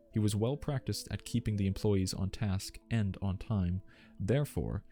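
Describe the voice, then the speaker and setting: Genteel butler, male narrator in role, low-voiced and soft-toned, formal, gentle ASMR storytelling over music. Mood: admiring, composed. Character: genteel, devoted male butler reminiscing about household staff.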